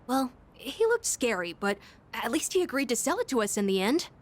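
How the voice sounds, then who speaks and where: A woman playing an earnest, gentle young schoolboy in a video game, speaking politely and thoughtfully with some hesitation. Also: a little high, very animated, brisk. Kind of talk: English video game voice acting.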